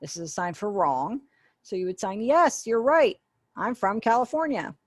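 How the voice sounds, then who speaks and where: A woman's voice: medium pitch, big pitch swings, normal speed, dry recording, studio quality. A woman giving an online sign language lesson over a webinar call, patiently explaining and acting out example phrases. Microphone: webinar video call.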